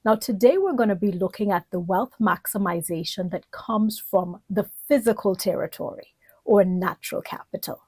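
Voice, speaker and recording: A woman from the Bahamas giving an instructional lecture in a deliberate, emphatic way, often posing rhetorical questions. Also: medium pitch, warm tone, husky, no room sound. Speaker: political leadership lecturer.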